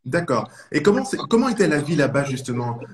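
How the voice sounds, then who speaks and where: A man hosting a live-streamed interview, talking warmly and at length with rambling questions. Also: medium pitch, sing-song, fast. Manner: warm, effusive, rambling questions. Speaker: interview host.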